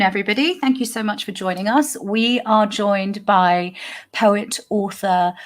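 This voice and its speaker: A woman hosting an online event over a video call, welcoming and effusive as she introduces the guest. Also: medium pitch. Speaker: host.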